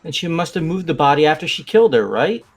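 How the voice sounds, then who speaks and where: A man's voice: on the high side, very animated, quick pace, faint music behind. A man voicing a laid-back young man who talks casually and a bit defensively, in a fan voice-acted game.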